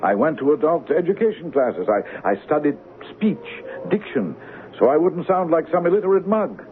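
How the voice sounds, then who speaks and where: An actor playing a dim, eager small-time crook who tries to sound educated, with slangy, hesitant, earnest talk in a radio drama. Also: male voice, a little high, big pitch swings, normal speed, slight background noise. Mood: rueful, defensive. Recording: thin, tinny old radio broadcast.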